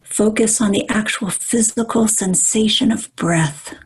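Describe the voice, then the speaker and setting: Female meditation guide; hushed, very airy tone, unhurried delivery, calm measured phrases with long pauses. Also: medium pitch. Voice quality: soft, breathy, at times near whisper.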